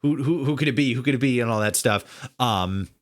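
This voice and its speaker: A man in a casual podcast conversation, speaking haltingly as he searches for words and repeats himself. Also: medium pitch. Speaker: podcast guest, audio drama writer. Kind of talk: casual chat.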